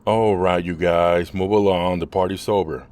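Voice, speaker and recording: The deep-voiced, officious patrolman who strolls up to break up trouble in a cartoon street, acted by a man. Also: steady tone, moderate pace, darkish tone, natural voice. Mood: stern, suspicious. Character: gruff, officious male beat cop.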